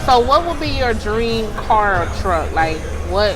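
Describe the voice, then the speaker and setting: A woman's voice: a little high, animated, a little slow, low-quality recording. A woman hosting a casual interview show in a chatty, slangy way. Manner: chatty, laughs often, slangy. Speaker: podcast host.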